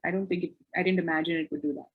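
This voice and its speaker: A woman's voice: on the low side, steady tone, rapid-fire, breathy edge, narrow, tinny audio. A woman author answering questions in a webinar, thoughtful and self-correcting, with frequent restarts.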